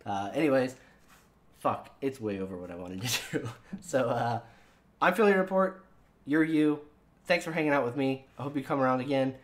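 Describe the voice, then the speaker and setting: A man's voice: mid-range voice, lively intonation, moderate pace. A man hosting his own channel in casual chat with viewers, rambling and swearing with lots of fillers, his voice sounding younger than he is. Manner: rambling and profane, with many fillers. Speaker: channel host.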